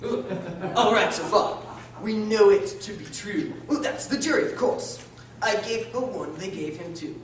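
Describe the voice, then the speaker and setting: A pompous, muddled old-fashioned King of a radio play, acted by a woman, blusters and mutters from the bench. The delivery swings widely in pitch. It sounds distant, in a very echoey, noisy room. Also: lively intonation, moderate pace, close to an everyday voice. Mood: pondering, pleased. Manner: blustering, hesitant, with muttering and stammering. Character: pompous, muddled King presiding as judge over a trial. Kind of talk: stage-like radio drama acting.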